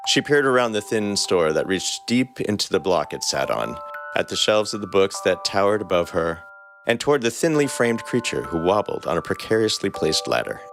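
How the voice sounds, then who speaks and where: Deep-voiced man narrating fan fiction. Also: big pitch swings, normal speed, a bit bright, faint music behind. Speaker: podcast host and narrator. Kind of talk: reading fan fiction aloud.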